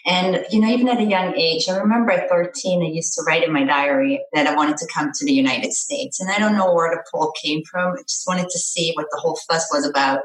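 A woman's voice: deep voice, fairly even intonation, a little fast, hardly any pauses. A middle-aged woman from Austria, a parent coach, chatting earnestly and cheerfully in an interview. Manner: earnest, upbeat, laughs easily. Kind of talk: casual interview chat. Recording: echoey room, voice a bit far from mic.

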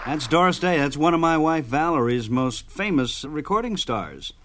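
A man's voice: a little high, sing-song, moderate pace, fairly bright tone, a faint crowd, slight background noise. A man presenting a radio program as host, in a scripted announcing style.

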